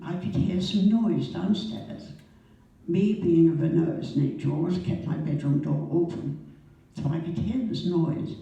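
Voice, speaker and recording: A woman, telling her wartime memories to a church congregation in a halting way, with pauses and throat-clearing. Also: on the low side, dark tone, lo-fi sound. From Norwich, England. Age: 80s. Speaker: guest speaker sharing memories. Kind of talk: personal storytelling to a congregation. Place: church hall. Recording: echoey church hall from far off.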